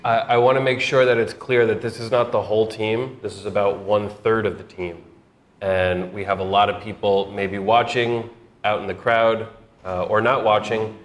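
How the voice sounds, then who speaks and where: A man co-hosting a live stream from a co-working space, speaking in a calm, matter-of-fact way. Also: lowish voice, normal speed, a little hiss or hum. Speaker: co-host.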